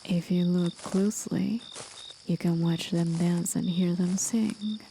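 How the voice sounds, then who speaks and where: A woman voicing a gentle, aged, mysterious demon of the forest in an intimate, soothing, breathy half-whisper for an ASMR roleplay. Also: on the low side, steady tone, slow pace, a little hiss or hum, rough recording. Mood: soft, coy.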